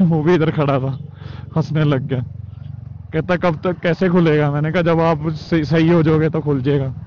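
A young man giving a casual, joking running commentary to viewers while riding a motorbike on a mountain road. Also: a little high. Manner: chatty, joking, addresses viewers as bhai. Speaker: motorcycle vlogger. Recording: muffled with loud road and wind noise.

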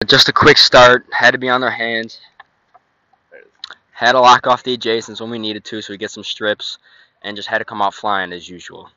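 A young man, a high school lacrosse defender, speaking briskly and with determination in a post-game interview at night. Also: flat delivery, pushed and bright, no echo, highs cut off. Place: outdoor sports field at night. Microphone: handheld mic.

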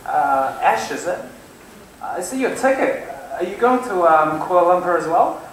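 A man's voice: high voice, sing-song, normal speed, distant mic. A young man performing a casual, joking skit on a large stage, part of a student production. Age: young adult. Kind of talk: amateur stage play dialogue. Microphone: far stage microphones. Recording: very echoey hall with noise.

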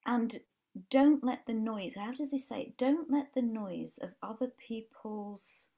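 Woman, Yorkshire accent, forties, earnest, restarts, gravelly, video call. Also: fairly high pitch, a little slow, dark tone. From England. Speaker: life coach guest. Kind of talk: casual podcast chat. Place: home room with brick wall.

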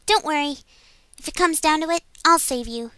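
A woman voicing a small, excitable, loyal fox spirit with a squeaky childlike voice in an anime game dub audition. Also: moderate pace, no echo. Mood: brave reassurance.

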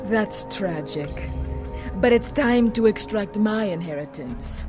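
A woman's voice: medium pitch, animated, slow pace, music in the background. A woman playing a vicious, bitter, murderous vampire in a video game cutscene, scornful and venomous.

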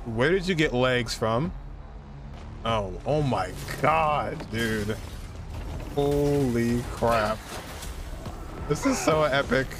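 Animated young man reacting to a game. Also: medium pitch, drawn-out words, long pauses, background noise. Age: young adult. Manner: slangy, awed exclamations. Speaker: streamer reacting to game cutscenes. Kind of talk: casual live gameplay reaction. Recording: game music playing behind.

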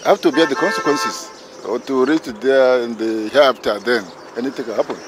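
An older Nigerian man answering a street interview in a halting, matter-of-fact way into a handheld mic. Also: medium pitch. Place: busy city street outdoors. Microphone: handheld mic held by interviewer. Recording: street traffic noise.

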